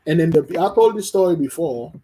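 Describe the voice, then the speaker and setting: A man's voice: a little high, lively intonation, fairly quick, warm tone, slight background noise, rough recording. A man from Haiti, preaching informally online to his brethren, emphatic and repeating himself, often stumbling and restarting. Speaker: religious teacher.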